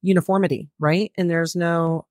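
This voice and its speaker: A woman's voice: lowish voice, fairly even intonation, moderate pace, a little mellow, no echo. A woman who owns a flooring company, interviewed on a radio show, talking in an upbeat, businesslike way into a close studio mic. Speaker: flooring company owner, guest. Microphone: close studio mic with headphones.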